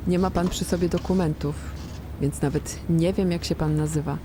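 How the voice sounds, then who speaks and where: Woman playing matter-of-fact hospital medic, brisk, direct, clinical, radio drama realism, noticeable background noise. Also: fairly low pitch, steady tone, natural voice. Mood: professional, calm. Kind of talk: naturalistic radio drama acting.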